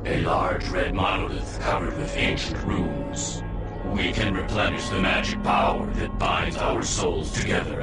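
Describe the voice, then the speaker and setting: A man voicing a grim, commanding warrior made of bound souls, speaking slowly and formally in a video game cutscene. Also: deep voice. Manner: slow, solemn, formal, speaks as a collective. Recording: echoing voice under loud music.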